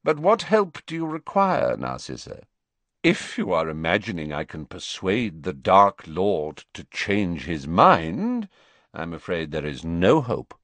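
A man voicing a cold, calculating male wizard in an audiobook, speaking in a measured, deliberate way. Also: sits low, very animated, a bit bright, no room sound, heavily acted. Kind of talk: audiobook character voice.

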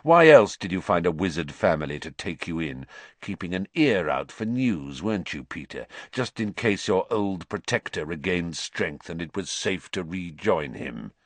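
Man doing a bitter wizard, low growling voice, venomous, lively swings, dry. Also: normal speed, dry recording, heavily acted. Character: bitter, vengeful male wizard, former prisoner.

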